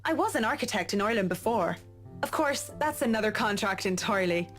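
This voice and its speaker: A woman voicing a professional young interior designer who speaks in a polite, measured way. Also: medium pitch, expressive, fairly bright tone, lightly acted. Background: game music.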